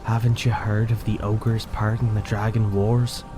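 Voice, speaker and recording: Man as a low, level-voiced wary ranger. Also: flat delivery, a little slow, over music, natural voice. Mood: wary, grim. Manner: quiet, formal. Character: wary, quiet, distrustful male ranger.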